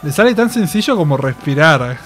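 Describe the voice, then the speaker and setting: A man in his thirties with an Argentine accent and a fairly high voice reacts casually as a video host. He talks like a gushing, affectionate fan, close to a studio mic, with music behind him. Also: sing-song, brisk, a bit bright. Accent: Argentine Spanish. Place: small indoor home studio. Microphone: close studio microphone on boom arm.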